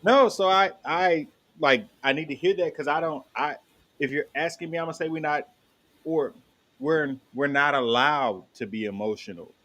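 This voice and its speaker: A man's voice: a little high, normal speed, fairly bright tone. A man co-hosting a casual panel talk, making his points emphatically with repeated phrasing. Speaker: podcast co-host.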